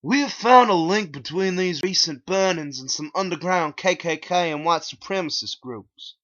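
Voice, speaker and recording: A man's voice: on the high side, expressive, normal speed, a bit bright, lo-fi sound, voice pushed up. A man voicing an official-sounding male police officer in a story reading.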